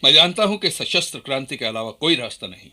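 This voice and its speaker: An actor playing a fervent Indian freedom fighter in a radio play, with an impassioned, declamatory delivery. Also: male voice, mid-range voice, lively intonation, fast talker, sharp tone. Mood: earnest, resolute.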